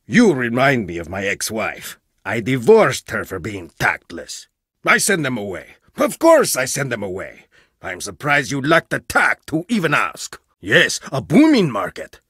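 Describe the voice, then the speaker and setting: A blunt, husky-voiced archaeologist. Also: male voice, mid-range voice, a bit bright, dry recording, clean studio sound, heavily acted. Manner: blunt, haughty, dramatic swings in delivery. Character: stern, proud male archaeologist, blunt and distrustful. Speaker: game character voice. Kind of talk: video game voice lines.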